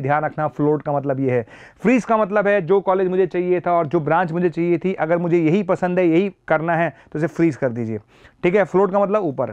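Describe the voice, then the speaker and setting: A man's voice: a little high, a little mellow, clear, resonant tone, no echo, highs cut off. A man with a sore throat explaining an admissions process as a teacher, repeating key points emphatically and mixing in phrases. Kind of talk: explanatory lesson.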